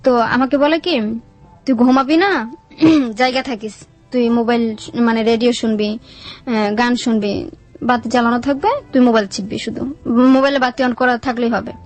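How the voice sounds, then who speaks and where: A woman's voice: fairly high pitch, fairly even intonation, normal speed, long pauses, faint music behind. A young adult woman with a Bangladeshi Bengali accent telling her life story in a colloquial, plain-spoken way as an interview guest.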